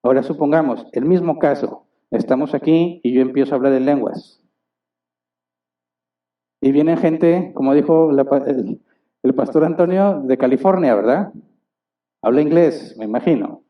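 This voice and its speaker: Pastor preaching, distant and muffled. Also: male voice, a little high, moderate pace, pauses often. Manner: asks the congregation rhetorical questions; acts out little dialogues. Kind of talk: sermon. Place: church hall. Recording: distant, dull church recording.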